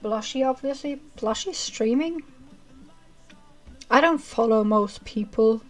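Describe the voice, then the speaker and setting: A woman streamer chatting casually with her viewers as she wraps up a livestream, rambling with fillers and a self-described monotonous voice. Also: on the high side, slow, faint music behind. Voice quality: monotonous reading voice. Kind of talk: casual chat ending a livestream.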